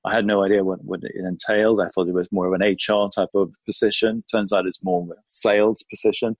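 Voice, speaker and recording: Man from Sweden, guest on an interview podcast, fairly low voice, dry close recording. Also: fast talker, vocal fry, husky, dry recording. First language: Swedish. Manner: friendly, thoughtful, with frequent ums and restarts. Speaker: guest, employer brand consultant. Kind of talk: conversational interview answers.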